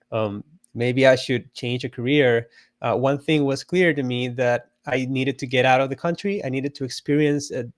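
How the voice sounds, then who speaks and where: A man's voice: mid-range voice, dry recording, studio quality. A man from Costa Rica, a guest on an interview show, telling his story in a friendly, reflective way and laughing often. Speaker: guest, illustrator and artist coach.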